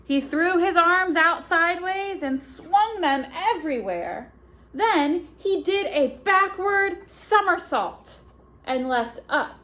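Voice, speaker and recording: A woman's voice: high voice, fairly bright tone. A woman reading a children's picture book aloud as a teacher, expressively and with simple asides to young listeners.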